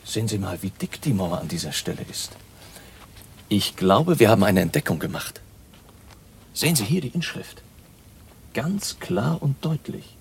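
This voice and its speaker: An actor playing a grave, authoritative baron who hunts vampires, speaking formally and in a lecturing way in a radio drama. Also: male voice, on the low side, big pitch swings, normal speed, vocal fry, pauses often, slight background noise. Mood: polite, intent.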